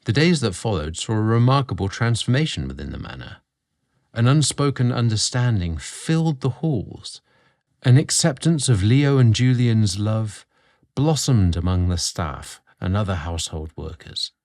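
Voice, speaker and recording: A man with a fairly low voice narrates a romantic tale in a dry, close recording. His delivery is theatrical and sensual, shaped like scripted audio storytelling. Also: sing-song, normal speed, darkish tone, no echo. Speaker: guest host and narrator.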